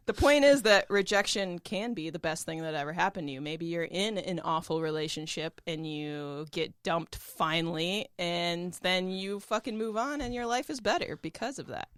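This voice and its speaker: A woman's voice: lowish voice, moderate pace, fairly bright tone, clear, resonant tone, dry recording. A woman co-hosting a podcast in casual comic chat, playful, wry and blunt. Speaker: podcast co-host.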